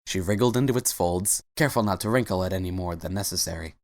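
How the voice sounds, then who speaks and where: A man's voice: low-pitched, fast, dry recording, studio quality. A man giving a scripted dramatic reading as the narrator of a story. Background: faint music.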